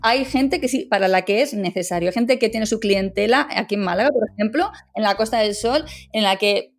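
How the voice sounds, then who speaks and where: A woman's voice: mid-range voice, a little fast. A woman, a legal English teacher, giving emphatic, conversational interview answers full of tag questions.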